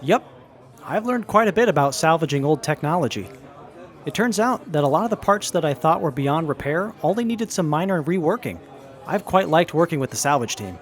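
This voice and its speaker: An earnest young tinkerer talks about salvage in a somewhat high, lively voice, as a man plays him. He sounds keen and sincere, in a fan audio drama. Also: fairly quick, close to an everyday voice.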